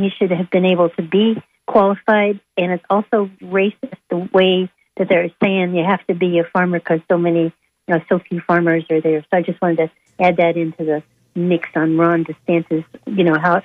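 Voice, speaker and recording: A woman calling in to a radio show over the phone, speaking off the cuff in a halting, hesitant way. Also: fairly low pitch, flat delivery. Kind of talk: unscripted call-in comment. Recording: tinny narrow phone line.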